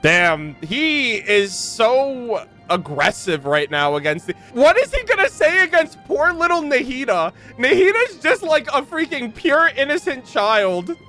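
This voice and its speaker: A man hosting a gaming reaction video, chatting casually in an expressive, slangy way. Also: extremely high pitch, a little slow, few pauses, faint music behind.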